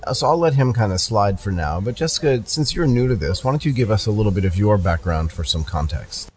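Deep-voiced man hosting a podcast. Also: lively intonation, a little fast, a little hiss or hum. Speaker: podcast host. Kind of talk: casual conversational interviewing.

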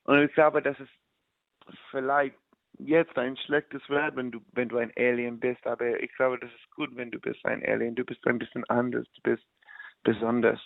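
American man, musician guest, halting German, gravelly voice, dry tinny sound. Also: mid-range voice, flat delivery, no echo. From United States. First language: English. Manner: halting German, switching into English. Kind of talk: casual interview in learner German. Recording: dry, phone-like line.

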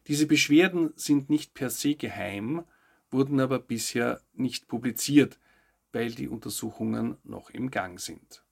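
A man's voice: mid-range voice, gravelly. A man hosting a news update, reading scripted narration with short commentary. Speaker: host of a weekly news update show.

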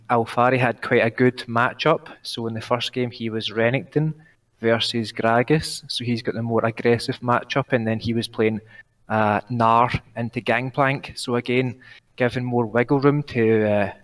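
A nervous man with a Glaswegian accent, calling in to give an esports prediction, talking fast while trying to slow down and pronounce clearly. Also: medium pitch, steady tone, a bit bright, recorded from a distance. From Glasgow, Scotland. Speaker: caller.

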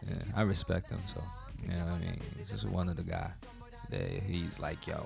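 A man's voice: deep voice, little pitch movement, a little fast, vocal fry, music in the background. A man from Japan, a guest rapper, telling stories in a laid-back, slangy way. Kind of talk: casual interview chat.

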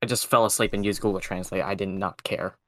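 A young man whose first language is Cantonese, chatting casually and hesitantly, often pausing to search for words. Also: low-pitched, steady tone, pushed and bright. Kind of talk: casual chat.

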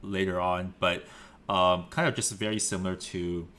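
Deep-voiced young man hosting a podcast. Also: little pitch movement, moderate pace, a bit bright. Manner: hesitant, lots of fillers, friendly questions. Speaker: podcast host. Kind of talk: casual interview.

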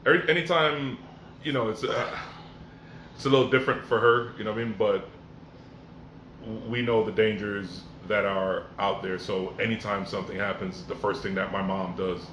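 Deep-voiced man in his forties, echoey room. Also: fairly even intonation, normal speed, a bit bright. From Jamaica, raised in Miami. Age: 40s. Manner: earnest, reflective storytelling. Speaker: podcast guest. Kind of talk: casual conversational podcast. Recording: echoey room with background noise.

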